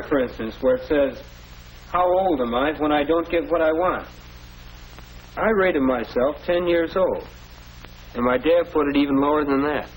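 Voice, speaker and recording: A man's voice: on the high side, husky. A young male actor with an American accent playing an earnest, polite teenage schoolboy in a 1940s educational film. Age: teenager or young adult. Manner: earnest, deferential. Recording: noisy old 1940s film soundtrack.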